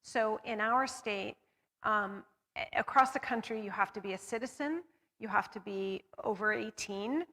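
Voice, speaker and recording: A woman's voice: medium pitch, moderate pace, bright, forceful tone, long reverb tail. A woman on a discussion panel, talking steadily and carefully, correcting herself as she goes through figures. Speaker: panelist on local voting data.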